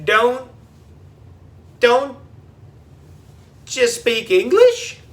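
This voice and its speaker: A man's voice: very high-pitched, very animated, very slow, pitched above the performer's own voice, full character voice. A man voicing a folksy rustic groundskeeper in a children's audiobook, drawling homespun cowboy sayings. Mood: puzzled. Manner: drawling, homespun country talk.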